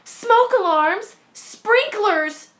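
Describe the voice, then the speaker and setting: A woman's voice: lively intonation, very slow, fairly bright tone, heavily acted. A woman voicing an anxious young schoolgirl who frets and sounds alarmed, in a storybook read-aloud. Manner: high, alarmed and fretful. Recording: echoey room.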